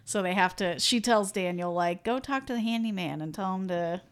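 A woman from the American Midwest co-hosting a casual movie podcast, chatty and quick to laugh. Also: mid-range voice, clear, resonant tone. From Midwestern United States. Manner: chatty, laughs often, self-deprecating. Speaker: podcast co-host. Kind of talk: casual chat.

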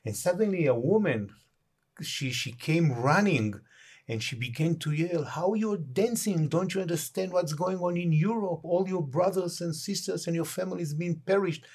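Israeli man, halting and thoughtful. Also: high-pitched, a little mellow, slightly rough. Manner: halting, many fillers and restarts. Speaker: tour guide, guest. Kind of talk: casual interview chat.

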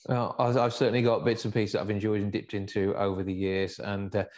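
A man's voice: lowish voice, flat delivery, fairly quick, darkish tone. A man hosting a radio show, chatting with guests and plugging upcoming gigs in an upbeat way. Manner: upbeat, plugs events and phone numbers. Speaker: radio host. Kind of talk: radio chat and promotion.

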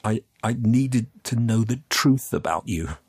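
A man voicing a frightened, desperate youth who speaks in a halting stammer, in an audiobook story. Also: lowish voice, lively intonation, a little mellow, slightly rough, voice pushed up. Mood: stammering, timid.

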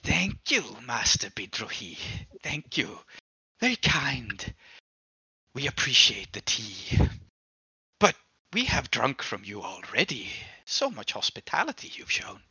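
Man playing a hushed, creepy servile demon. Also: medium pitch, wildly swooping pitch, gravelly, slightly rough, no echo, heavily acted, rough recording. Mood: sinister gratitude. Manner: obsequious, halting, self-abasing, whispery menace. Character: servile, creepy demon emissary in a grinning man's body.

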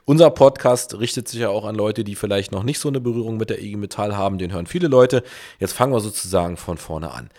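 Berliner host, man, lower pitch, steers the chat with easy questions. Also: fast, a little mellow. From East Berlin, Germany. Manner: conversational, leading questions. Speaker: podcast host. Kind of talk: interview podcast.

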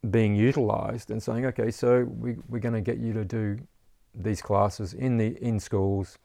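Male herbalist, low gravelly voice, dry close mic, rambling casual talker. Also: flat delivery, a little slow, dark tone, no echo. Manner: rambling, repeats words, says "you know" often. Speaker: herbalist teacher. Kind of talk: casual interview chat.

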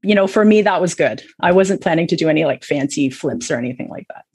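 A middle-aged Canadian woman with a husky, slightly low voice talks as a guest on a podcast. She is chatty and self-deprecating, telling things in a casual conversational way. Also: expressive, fast talker, a little mellow. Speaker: podcast guest, charity executive director.

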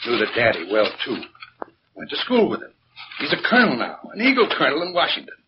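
An actor playing a chatty, folksy small-town American filling station owner, easygoing and gossipy, in a 1940s radio drama. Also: male voice, mid-range voice, huge pitch swings, quick pace. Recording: muffled, noisy 1940s radio recording.

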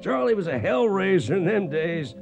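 Radio Western storyteller, warm dark tone, lively reminiscing cowboy drawl. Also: male voice, high-pitched, normal speed, vocal fry, faint music behind, exaggerated voice. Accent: American Western drawl. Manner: folksy, reminiscing. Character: folksy old-time cowboy storyteller recalling trail days. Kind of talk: first-person narration in Western radio drama.

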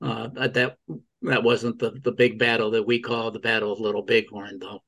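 A man's voice: lowish voice, flat delivery, normal speed, creaky, fairly bright tone. A man talking casually in a group discussion, rambling along and often repeating his words as he goes. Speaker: book club participant.

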